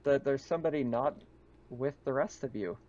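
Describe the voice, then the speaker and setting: A man's voice: medium pitch, moderate pace, a little mellow. A man running a tabletop game, narrating casually as game master. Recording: muffled, dull microphone.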